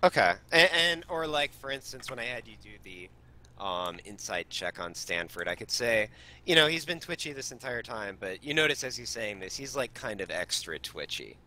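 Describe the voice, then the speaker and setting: A man's voice: medium pitch, sing-song, moderate pace, sharp tone. A man who is running a game for the first time, thinking aloud and talking eagerly about his session. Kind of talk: casual feedback discussion on a call.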